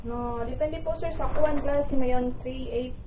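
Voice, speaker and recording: Female shop attendant, high-pitched, polite, heard thin and tinny off-mic. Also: steady tone, measured pace. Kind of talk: polite customer service talk. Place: helmet and riding gear shop, off-mic. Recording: faint phone-like pickup, distant, background noise.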